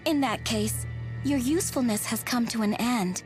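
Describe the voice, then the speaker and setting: Condescending, high-voiced cruel villainess played by an actress. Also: female voice, a little mellow, faint music behind, natural voice. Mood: coldly imperious. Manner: condescending, formal, drawn-out phrasing. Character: cruel, mocking female enforcer, coldly polite. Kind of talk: video game voice acting.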